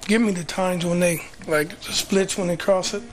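A man with a hoarse voice giving chatty, rambling play-by-play at an outdoor track meet for local TV. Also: sits high, steady tone, normal speed, a little hiss or hum. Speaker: local TV commentator. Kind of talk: casual play-by-play commentary.

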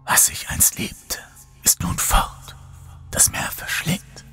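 A man's voice: takes it slowly, pushed and bright, dry recording, faint music behind. A man whispering as a grieving ghost woman. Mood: mournful.

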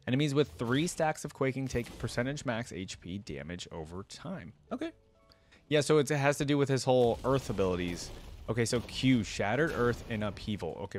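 A young man giving casual, informal reaction commentary, thinking aloud and reading bits out at a close desk microphone. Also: mid-range voice. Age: young adult. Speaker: reaction video host. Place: indoor gaming setup. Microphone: desk microphone up close, headset on.

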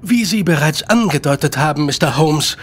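An actor playing an eager, talkative Victorian gentleman writer in a radio drama, speaking in formal, wordy sentences. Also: on the high side, lively intonation, moderate pace, breathy edge. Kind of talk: German radio drama acting.

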